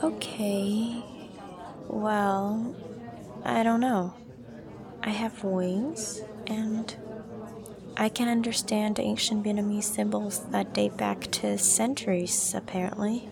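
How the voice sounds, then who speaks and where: A woman playing a guarded, unsure young student in an audio drama, soft-spoken and hesitant, often trailing into breathiness. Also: mid-range voice, steady tone, slow, vocal fry, crowd noise. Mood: reluctant. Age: young adult. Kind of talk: acted audio drama dialogue.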